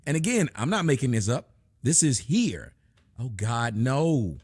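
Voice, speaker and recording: Man in his 40s, stream host, loud mocking rant, lively pitch. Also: a little slow, breathy edge. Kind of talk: ranting commentary on live streams. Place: home room with a fireplace.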